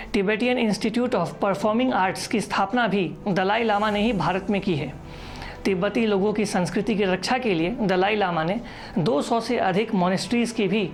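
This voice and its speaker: A woman reading a scripted news explainer as a narrator. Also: mid-range voice. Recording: voice-over with music underneath. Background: background music.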